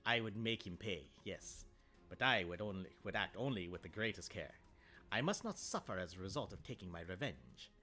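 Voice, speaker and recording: A man doing a put-on Italian accent to voice a proud, vengeful nobleman, narrating with measured theatrical delivery. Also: deep voice, animated, bright, forceful tone, soft background music. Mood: brooding. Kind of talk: dramatic reading aloud.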